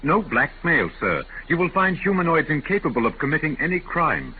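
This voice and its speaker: An actor playing a formal, polite and unyielding humanoid robot servant in a 1950s radio drama. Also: male voice, on the high side, very animated, moderate pace. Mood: politely insistent. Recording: noisy, tinny 1950s radio recording.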